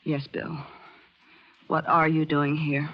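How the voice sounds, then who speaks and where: A woman's voice: deep voice, level tone, takes it slowly. An actress playing an anxious, jumpy young woman in a 1940s radio drama. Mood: nervous, hesitant. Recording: muffled old radio broadcast, faint music.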